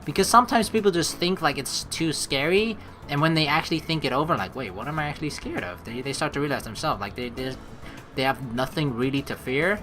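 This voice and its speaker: A woman's voice: sits low, lively intonation, a little fast, a bit bright, faint music behind. A young adult woman giving casual let's play commentary in a rambling, conversational way. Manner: rambling, conversational, peppered with "you know". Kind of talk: casual game commentary mixed with reading dialogue aloud.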